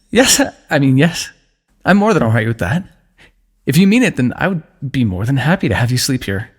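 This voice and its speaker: A young man speaking softly and intimately in a fantasy romance roleplay, tender and earnest, with sighs and small laughs. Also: mid-range voice, big pitch swings, normal speed, long pauses, slightly rough. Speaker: ASMR roleplay narrator.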